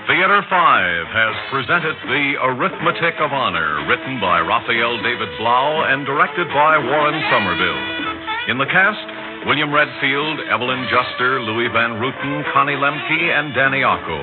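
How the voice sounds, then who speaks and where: A man's voice: medium pitch, expressive, normal speed. A man announcing scripted credits in the formal style of 1960s radio. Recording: muffled old radio, loud music behind. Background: loud orchestral music.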